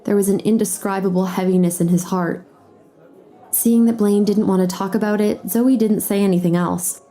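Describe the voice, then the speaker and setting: A woman's voice: medium pitch, fairly even intonation, normal speed, dark tone. A woman narrating a scripted romantic drama as its storyteller.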